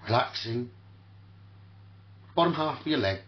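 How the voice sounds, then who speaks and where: A man leading a guided sleep meditation as host, speaking soothingly in short, repeated phrases with long pauses, on a muffled lo-fi recording. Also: mid-range voice, animated. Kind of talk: guided sleep meditation, spoken relaxation talkdown.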